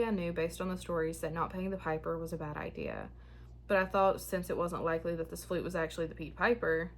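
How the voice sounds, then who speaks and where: A young woman who hosts a spooky story channel, chatting frankly and then reading a listener's tale aloud, her voice starting to go hoarse. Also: on the low side, slight background noise. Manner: chatty, frank and a bit frazzled when talking, steady when reading. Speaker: horror story channel host. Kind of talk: casual chat and reading listener stories aloud.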